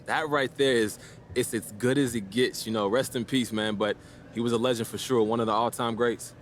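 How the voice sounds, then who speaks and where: A man's voice: mid-range voice, fairly even intonation, normal speed, a little hiss or hum, natural voice. A man playing a young, easygoing but guarded basketball rookie in a video game, answering the press in a casual, hesitant, diplomatic way. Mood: thoughtful, easygoing.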